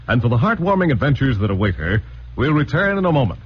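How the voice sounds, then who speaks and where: A man's voice: mid-range voice, sing-song, fast. A male announcer giving dramatic, polished 1940s radio drama narration. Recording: muffled old radio broadcast with faint hiss.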